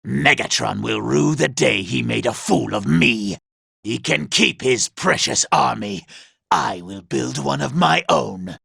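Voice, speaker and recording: A male actor playing a vain, scheming, power-hungry robot commander in a video game, imperious and theatrical, with a raspy, gravelly voice. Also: medium pitch, sharp tone, no room sound, studio quality, exaggerated voice. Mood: sly, scheming. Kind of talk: video game villain voice acting.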